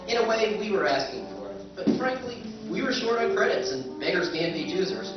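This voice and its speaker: A man's voice: high-pitched, big pitch swings, brisk. A man playing a wry spaceship-buying narrator. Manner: dry storytelling narration. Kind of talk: live stage recreation of 1950s radio drama. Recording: echoey hall from far off, dull, music behind.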